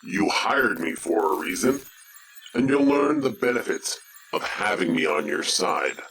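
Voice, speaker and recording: An actor playing a proud, stern mercenary count commanding a warship in an audio drama, authoritative and menacing. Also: male voice, sits low, sing-song, unhurried, vocal fry, a bit bright, exaggerated voice. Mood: stern, demanding. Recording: faintly noisy recording.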